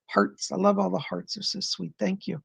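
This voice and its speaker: A man hosting a live stream of psychic readings, answering viewer questions in an effusive, chatty way and pausing to listen to spirits. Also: high voice, level tone, gravelly, a bit bright, breathy edge, dry recording. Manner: pauses to listen to spirits mid-sentence.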